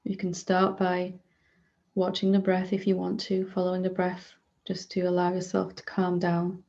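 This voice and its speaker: A woman leading a guided meditation as a spiritual teacher, speaking gently in short unhurried phrases with long pauses between them. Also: fairly low pitch, monotone, highs cut off.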